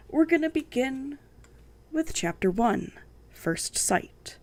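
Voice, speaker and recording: A woman hosting a book channel, reading a novel aloud and commenting on it in a sassy, sarcastic way, her voice croaky from a sinus infection. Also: mid-range voice, darkish tone. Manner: sniffing and coughing.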